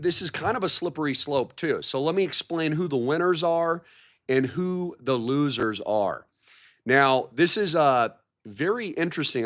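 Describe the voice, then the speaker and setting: A man hosting a livestream, his voice hoarse from a recent cold, talking in an excited, repetitive way about market news. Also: fairly high pitch. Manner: hyped, repeats words like massive and shocking. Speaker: livestream host.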